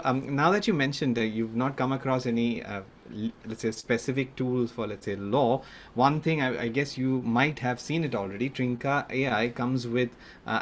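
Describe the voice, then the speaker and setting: A man's voice: mid-range voice, slight background noise. A man hosting a podcast, asking interview questions in a hesitant way full of fillers and self-corrections. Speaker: podcast host.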